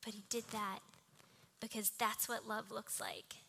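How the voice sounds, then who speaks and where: A woman's voice: mid-range voice, sing-song, a little slow, gravelly, pushed and bright, a little hiss or hum. A young woman preaching a sermon with personal anecdotes, in a small, cartoonish voice. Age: young adult. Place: live talk before a congregation.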